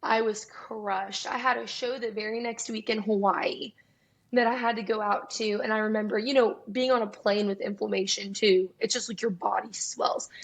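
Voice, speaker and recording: A young woman with a raspy voice, a singer-songwriter guest telling her story in a casual, chatty interview with many fillers. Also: medium pitch, fairly even intonation, normal speed. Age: young adult.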